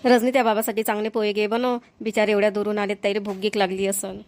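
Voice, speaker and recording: A woman's voice: medium pitch, flat delivery, fast talker, a little mellow, close to an everyday voice. A woman playing a kindly, talkative older mother-in-law in a village cartoon, with a rural Maharashtrian Marathi accent and homely manner. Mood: welcoming. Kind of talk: Marathi cartoon family comedy voice-over.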